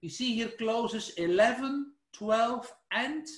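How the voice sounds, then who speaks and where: A man's voice: extremely high pitch, animated, slow pace, fairly bright tone, echoey room. A man from Belgium with a Flemish accent, presenting a technical webinar in a loose, explanatory way with self-corrections. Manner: informal and explanatory, with self-corrections and "let's say" fillers. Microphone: screen-shared webinar, speaker not seen.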